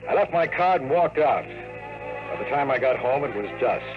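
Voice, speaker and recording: A man's voice: medium pitch, animated, normal speed, phone-quality sound, lightly acted. An American man acting a sardonic, hard-boiled private detective in a 1950s radio drama, delivering flippant lines and noir narration. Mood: brooding narration. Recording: vintage radio recording with music.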